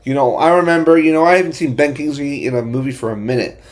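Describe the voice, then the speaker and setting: A man hosting a casual movie review, speaking bluntly in a halting, repetitive way with frequent restarted phrases. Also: fairly high pitch. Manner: opinionated, blunt. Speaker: host of a movie review channel.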